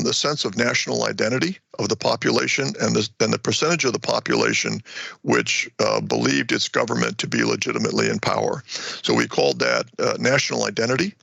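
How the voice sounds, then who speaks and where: An older American man giving explanatory interview answers as an academic guest, halting and often repeating words as he goes. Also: mid-range voice, gravelly, a bit bright, husky, no echo. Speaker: retired war college professor, research guest.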